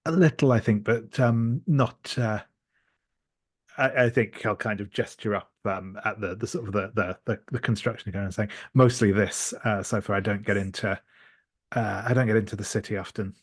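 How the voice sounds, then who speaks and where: A man telling an improvised tabletop roleplay story, haltingly searching for words. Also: fairly low pitch, fairly even intonation, breathy edge, no room sound, studio quality. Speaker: tabletop game participant.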